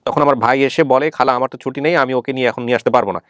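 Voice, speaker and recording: A man's voice: mid-range voice, fairly even intonation, rapid-fire, a bit bright, no room sound. A man hosting a family reunion show, questioning methodically and repeating points to confirm them. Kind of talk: probing interview, reading out and summarizing testimony.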